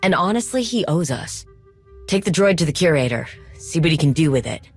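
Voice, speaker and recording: A woman's voice: low voice, big pitch swings, fairly quick, husky, faint music behind, heavily acted. A woman playing a resourceful, determined tech specialist in a video game, speaking in a casual, practical way. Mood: wry, concerned.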